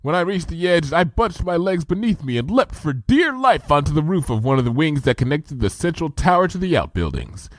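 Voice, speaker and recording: A man's voice: a little high, sing-song, brisk, hardly any pauses, no echo. A man reading a comedy adventure story aloud with vivid first-person action narration. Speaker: fanfiction reader.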